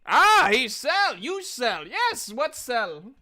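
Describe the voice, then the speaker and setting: Woman doing a bright, courteous fantasy merchant. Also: a little high, big pitch swings, slow pace, full character voice. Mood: polite, eager. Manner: courteous, slightly puzzled salesman patter. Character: polite, eager male merchant in a fantasy town.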